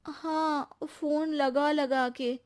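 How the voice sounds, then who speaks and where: A woman playing a trusting, easily worried young wife in a cartoon moral story, earnest and expressive. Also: high-pitched, drawn-out words. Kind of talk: Hindi animated moral story voice acting.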